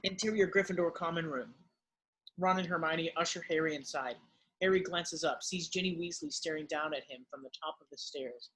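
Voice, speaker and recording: A man giving scripted narration, reading the stage directions of a drama in a husky voice. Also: a little high, normal speed, fairly bright tone, rough recording.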